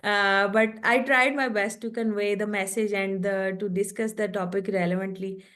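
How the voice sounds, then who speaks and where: A woman's voice: medium pitch, fairly even intonation, moderate pace, a bit bright. A woman whose first language is Urdu, a guest lecturer answering questions in an online webinar, hesitant with many fillers.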